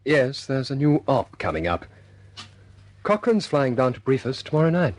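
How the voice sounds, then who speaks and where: An actor playing a composed bomber squadron leader in a wartime radio drama, clipped and military in manner, with a slightly husky voice. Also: male voice, mid-range voice, very animated, normal speed, close to an everyday voice. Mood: matter-of-fact. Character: brisk British bomber squadron leader, adult man, composed.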